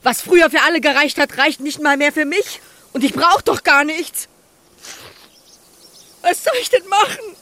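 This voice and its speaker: A woman's voice: high voice, normal speed, pauses often, bright, forceful tone. An actress playing a foul-mouthed, defiant woman farmer in a radio play, brusque and threatening. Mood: bitter. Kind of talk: German radio play acting.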